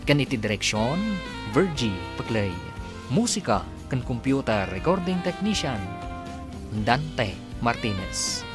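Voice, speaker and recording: A man reading the closing credits of a radio drama as a formal, measured announcer. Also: mid-range voice, sing-song, over music. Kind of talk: scripted broadcast announcing.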